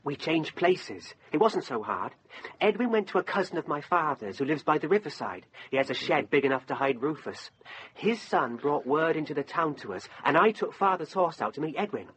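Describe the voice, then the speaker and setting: A young actor playing a loyal, plucky youth held prisoner in a radio drama. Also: male voice, fairly high pitch, very animated, moderate pace, a bit bright, a little hiss or hum, rough recording. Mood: eager, candid. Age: young adult.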